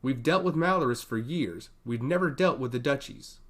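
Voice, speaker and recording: A man's voice: medium pitch, expressive, normal speed. A man voicing a proud, diplomatic noble sister in a fantasy audiobook, with haughty, articulate delivery. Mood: indignant, earnest.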